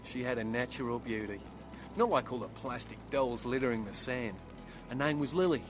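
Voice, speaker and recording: A man's voice: mid-range voice, moderate pace, over music, lightly acted. A man playing a young surfer turned outcast vampire in a video game, wistful and bitter, with a folksy, plain-spoken manner. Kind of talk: video game dialogue acting.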